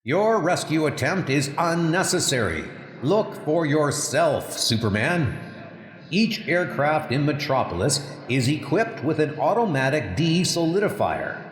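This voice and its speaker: A man's voice: fairly high pitch, sing-song, a little slow, roomy sound, big-room sound, pitched above the performer's own voice. A man voicing a stern, officious futuristic patrol enforcer in a comic book dub, formal and commanding. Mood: stern, matter-of-fact. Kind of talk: comic book audio drama.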